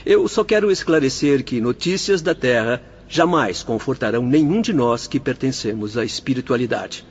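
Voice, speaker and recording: An actor playing a young spirit doctor in a radio drama, explaining things formally and patiently. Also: male voice, mid-range voice, lively intonation, moderate pace, husky, lo-fi sound. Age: young adult. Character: calm, instructive young spirit doctor and hospital director.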